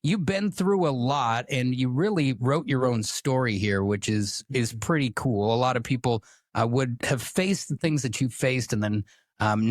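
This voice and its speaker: A man's voice: medium pitch, dry recording, studio quality. A man hosting a podcast interview, reading a sponsor plug with an upbeat manner. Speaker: podcast host.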